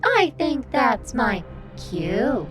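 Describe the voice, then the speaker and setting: Woman voicing wary female companion, audio drama, high lively voice, drawn-out words, clear background music. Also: heavily acted.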